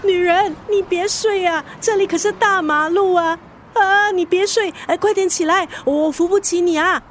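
A woman's voice: very high voice, normal speed, a little hiss or hum. An actress in a radio drama playing a blunt, sassy but caring female friend, with a colloquial Singaporean accent. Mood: panicked. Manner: colloquial, mixes in English words.

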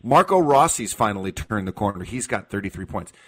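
A man's voice: lowish voice, expressive, a little fast. An American man hosting sports talk radio, giving his opinions emphatically and repeating key phrases to drive them home.

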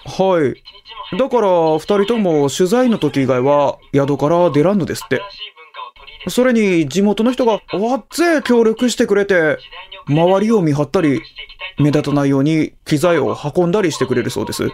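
An actor playing an eager, respectful junior radio staffer, in a radio drama. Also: male voice, fairly high pitch, lively intonation, normal speed, darkish tone.

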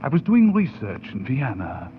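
An actor playing a proud, bitter European mad scientist professor in a theatrical 1940s radio horror monologue. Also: male voice, mid-range voice, wildly swooping pitch, normal speed, narrow, tinny audio, background noise. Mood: bitter, brooding. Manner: theatrical, grandiose, swinging in intensity. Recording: thin band-limited 1940s radio recording.

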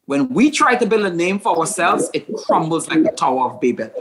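Man, pastor host, high voice, very animated, repeats words as he gets going. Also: fairly quick, a little hiss or hum. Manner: animated storytelling, stammering restarts. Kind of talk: casual faith discussion.

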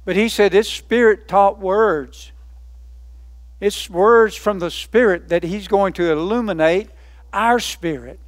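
A man's voice: sits high, takes it slowly, no room sound. A man preaching a sermon, emphatic, building lists by repetition and asking rhetorical questions.

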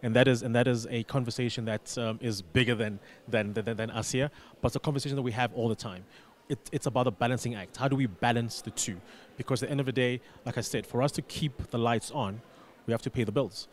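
A man's voice: medium pitch, fairly even intonation. A man giving conversational interview answers as a studio guest, often repeating words as he builds his point. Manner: repeats words, halting emphasis. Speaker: radio business manager, studio guest.

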